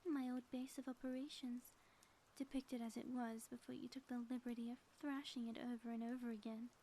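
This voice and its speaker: A high, mellow-voiced female supervillain played by a woman in an ASMR audio drama, sly and grandly theatrical. She taunts in soft, pompous, flowery phrases that often sink toward a whisper, with faint noise behind her. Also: flat delivery, a little fast, lightly acted, poor audio. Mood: gloating, menacing. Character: gloating female supervillain inventor, sly, theatrical, secretly smitten.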